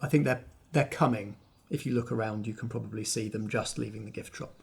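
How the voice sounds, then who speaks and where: A man's voice: lowish voice, fairly quick, vocal fry, breathy edge. A man acting as game master, narrating a tabletop game with descriptive, storytelling phrasing.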